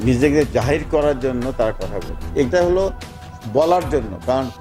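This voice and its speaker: A man's voice: medium pitch, lively intonation, normal speed, dark tone. A man with a Bangladeshi accent answering interview questions as an official, repeating and rephrasing as he goes. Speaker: government official being interviewed. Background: background music.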